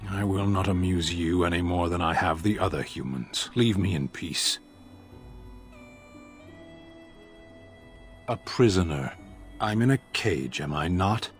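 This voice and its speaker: An actor playing a proud, caged giant warrior who speaks tersely, with solemn resignation, in a fantasy game. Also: male voice, low-pitched, normal speed, soft background music, lightly acted. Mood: cold, guarded. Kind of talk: dramatic game dialogue.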